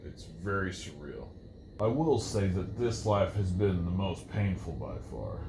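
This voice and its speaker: Man voicing a low, brooding undead metal reaper. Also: big pitch swings, unhurried, vocal fry, noisy background, full character voice. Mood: earnest. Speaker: talk show guest. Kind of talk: interview answers.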